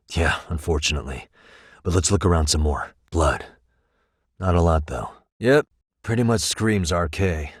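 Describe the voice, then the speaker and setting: A man voicing a sharp, level-headed private detective in a video game, with a dry, matter-of-fact manner. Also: low voice, no echo. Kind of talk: English game voice acting, detective dialogue.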